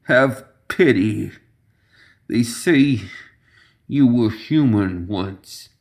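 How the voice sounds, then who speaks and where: A man playing a drugged, dying man in a storybook, slurring his words in halting desperation. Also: mid-range voice, animated, darkish tone. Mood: pleading.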